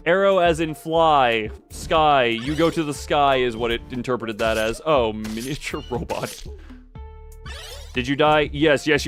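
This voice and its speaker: A man giving casual live commentary on a game as he streams, thinking out loud and often breaking off mid-sentence. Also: mid-range voice.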